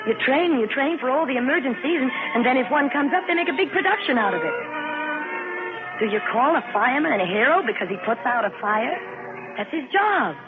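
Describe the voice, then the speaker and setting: An actress playing a modest, matter-of-fact young Air Force flight nurse in 1950s radio drama style. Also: female voice, high voice, expressive, fairly quick. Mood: reflective, matter-of-fact. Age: young adult. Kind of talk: 1950s radio drama acting, first-person narration and dialogue. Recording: tinny old 1950s radio recording, loud music.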